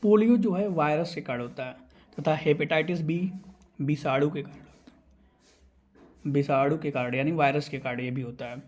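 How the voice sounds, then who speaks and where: A man's voice: a little high, normal speed, long pauses, darkish tone. A man teaching exam questions, explaining answers and repeating key terms so they stick.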